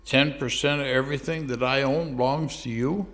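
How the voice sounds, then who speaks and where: A man's voice: medium pitch, steady tone, normal speed, fairly bright tone, lightly acted. Man doing stammering churchgoer. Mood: sheepish excuses. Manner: stammering, hesitant, with many restarts. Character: sheepish churchgoing man making excuses to God. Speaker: church speaker. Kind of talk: comic phone-call monologue.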